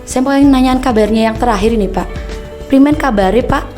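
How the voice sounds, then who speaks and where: A young woman from Tegal hosts a podcast in a higher, friendly voice, quick to agree and to tease her guest. Her voice rings in an echoey room with faint music behind. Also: dark tone. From Tegal, Central Java. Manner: agreeable, frequent affirmations, playful. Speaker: podcast host. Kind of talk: casual podcast interview.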